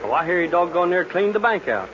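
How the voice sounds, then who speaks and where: Quick, folksy saloon keeper. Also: male voice, sits high, very animated, a crowd in the background. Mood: gossipy, wry. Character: gossipy, wry old-West saloon keeper, wary of strangers. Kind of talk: 1940s radio western acting. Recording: muffled noisy 1940s radio recording.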